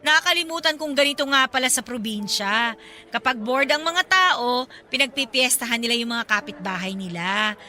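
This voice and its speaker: A woman's voice: on the high side, expressive, normal speed, pushed and bright. A woman playing a defiant young single mother in a radio drama, polite in form but pointed and sarcastic. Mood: defensive, scornful.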